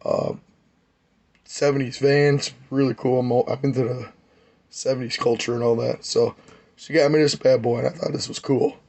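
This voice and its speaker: Man, hoarse, raspy, gravelly, rambling casual hobby vlog. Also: medium pitch, fairly even intonation, normal speed, a little mellow. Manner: rambling, enthusiastic, many fillers. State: hoarse, losing his voice. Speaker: model car builder.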